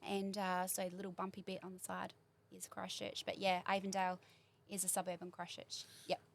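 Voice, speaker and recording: A woman in her forties with a New Zealand accent chats as a guest on a small studio podcast. She talks warmly and rambles as she recalls things, with plenty of casual fillers. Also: mid-range voice, monotone, a little fast, vocal fry. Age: 40s. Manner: warm, chatty, rambling recollection. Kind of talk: casual interview chat.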